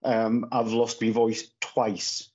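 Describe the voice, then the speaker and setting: A man's voice: mid-range voice, monotone, slow pace, darkish tone, breathy edge. Rambling man on a call. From Northeast England. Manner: rambling, full of restarts and fillers. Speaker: podcast co-host. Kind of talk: casual podcast chat. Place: small room at home, indoors. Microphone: phone camera on a video call. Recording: slightly dull lo-fi call audio.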